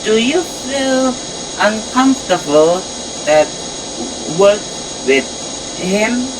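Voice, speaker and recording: A woman playing a polite, timid newcomer in an amateur audio drama, speaking hesitant, halting English. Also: mid-range voice, animated, background noise. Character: polite, timid newcomer visiting a research facility. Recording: music under the voice.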